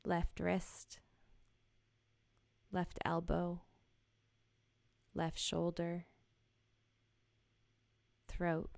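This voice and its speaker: A woman guiding a yoga nidra body scan in a gentle, soothing, unhurried manner with short, spaced phrases. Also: on the low side, completely flat delivery, vocal fry, no echo. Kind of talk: guided meditation, slow body scan.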